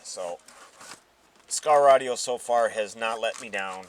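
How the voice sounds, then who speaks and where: A man's voice: on the low side, slow pace. A man hosting a casual car audio unboxing, talking informally and thinking aloud, with some noise behind him. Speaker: host of a car audio channel.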